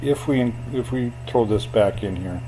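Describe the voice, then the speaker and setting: A man walking a viewer through comparing property sales on screen, in an plain, unhurried way with fillers and a voice he calls boring. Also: medium pitch, background noise. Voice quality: self-described boring voice. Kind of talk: screen walkthrough tutorial.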